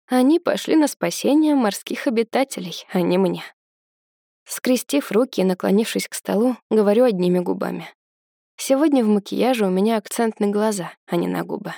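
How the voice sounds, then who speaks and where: A woman narrating a first-person novel in a measured, even storytelling manner. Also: medium pitch, gravelly, no room sound, clean studio sound. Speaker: audiobook narrator.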